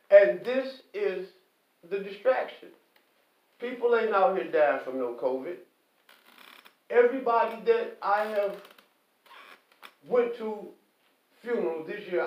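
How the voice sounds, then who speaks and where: A man delivering an impassioned, preachy monologue, emphatic and repetitive, often pausing to ask "okay?". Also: high-pitched. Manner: preachy, quotes scripture. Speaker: online commentator. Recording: echoey, distant, dull lo-fi.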